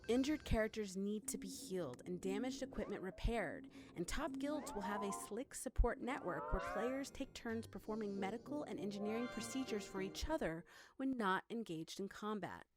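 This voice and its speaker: A woman's voice: medium pitch, lively intonation, moderate pace, over music. A woman narrating a scripted promotional video for a game in the manner of a trailer voice-over.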